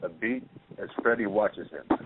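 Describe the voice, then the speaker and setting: Low-voiced male narrator, tinny sound. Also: normal speed, noisy background. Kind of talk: scripted narration of stage directions. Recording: tinny phone-line audio.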